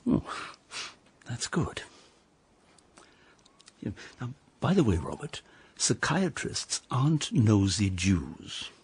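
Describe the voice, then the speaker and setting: An actor playing a stiff, pompous, old-fashioned English father in a comic radio drama, with a husky voice and measured delivery. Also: fairly low pitch, wildly swooping pitch, exaggerated voice. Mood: awkward.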